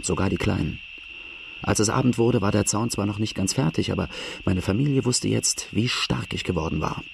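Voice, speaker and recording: Gravelly, low-voiced young outlaw narrator. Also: male voice, a little hiss or hum. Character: young Australian farm-boy outlaw recounting his hard youth. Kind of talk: first-person radio drama narration.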